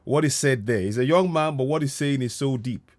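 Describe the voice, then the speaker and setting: A middle-aged man hosts his online show from home, talking into a headset mic with a dry, close sound. He speaks earnestly, repeating key phrases for weight. His voice sits at an ordinary pitch. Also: brisk, dry recording. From Yoruba Nigeria. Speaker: host. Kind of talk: reflective commentary on a played video.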